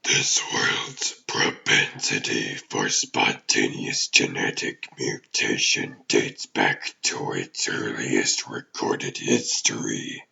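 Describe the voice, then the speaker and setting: An ancient demon overlord from a comic dub, extremely low and heavily gravelled. He speaks with grand, formal menace, very slowly and deliberately, as an imperious ruler would. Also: bright, forceful tone, lo-fi sound, exaggerated voice. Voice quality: gravelly, growling. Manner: pompous, deliberate, formal archaic phrasing. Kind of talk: theatrical villain acting in a comic dub.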